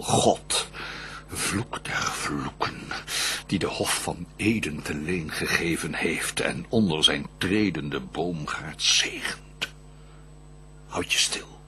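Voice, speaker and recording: An actor playing Lucifer, a vengeful, scheming fallen angel, in a theatrical radio play, declaiming in a husky, raspy voice that swings from hushed to roaring. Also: male voice, fairly low pitch, a little hiss or hum, exaggerated voice. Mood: bitter, furtive. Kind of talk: theatrical radio drama verse monologue.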